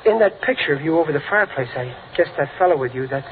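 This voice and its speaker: An actor playing a bumbling, good-hearted middle-aged American father in old radio comedy, stammering and folksy with rough working-class grammar. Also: a little high, expressive, quick pace, close to an everyday voice. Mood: gentle, chatty. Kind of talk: 1940s-50s radio comedy acting. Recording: noisy, tinny vintage radio recording.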